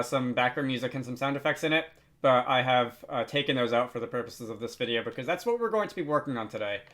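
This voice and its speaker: A man narrating a software tutorial in a casual, informal way, pausing often as he explains. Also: mid-range voice. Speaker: tutorial host.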